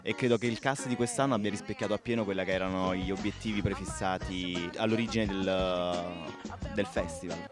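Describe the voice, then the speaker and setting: Man, fairly low pitch, interviewee, festival casting organizer, music behind. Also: fairly even intonation, a little fast. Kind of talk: interview answers.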